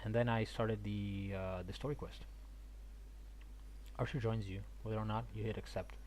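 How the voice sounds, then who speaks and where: Casual, halting male game streamer. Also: fairly low pitch, fairly even intonation, a little mellow. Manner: halting, repetitive. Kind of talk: casual live stream chat, game reactions. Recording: faint background noise.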